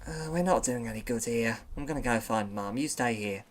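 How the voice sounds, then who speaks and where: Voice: mid-range voice, brisk, close to an everyday voice. A performer voicing a young female seal with a British accent, wry, sarcastic and self-important. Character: young female seal, pompous would-be intellectual. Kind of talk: cartoon narration.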